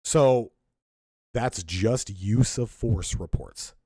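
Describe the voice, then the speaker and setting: A man's voice: fairly low pitch, drawn-out words, warm tone, breathy edge, no echo, clean studio sound, faint music behind. A man co-hosting a podcast, giving emphatic, indignant political commentary with frequent restarts. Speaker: podcast co-host.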